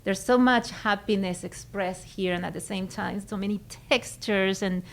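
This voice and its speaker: A middle-aged woman from Venezuela with a Venezuelan Spanish accent, talking as a guest in a conversational interview and pausing to search for her words. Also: mid-range voice. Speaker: guest photographer.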